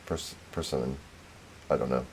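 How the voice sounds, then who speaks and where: A man with a low-pitched voice hosts a casual video game livestream. He talks in a loose, rambling way and tosses in self-deprecating asides as he goes. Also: fairly even intonation, moderate pace, darkish tone, a little hiss or hum, low-quality recording. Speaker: game streamer.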